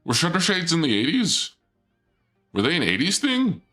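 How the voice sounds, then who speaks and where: A man live-streaming a game, chatting casually with his viewers in a playful dark-lord persona; he is sniffly from a cold and often stumbles over his words. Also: on the high side, huge pitch swings, normal speed, faint music behind.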